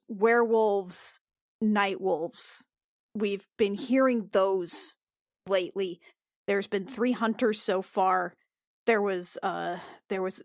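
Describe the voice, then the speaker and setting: A woman playing a tabletop game, talking in halting, restarting phrases, half in character and half as herself. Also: mid-range voice, no room sound, studio quality. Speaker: player.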